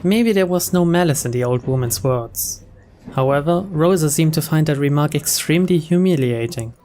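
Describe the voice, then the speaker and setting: A man's voice: on the high side, moderate pace, darkish tone. A man reading a story aloud as scripted narration for a game playthrough.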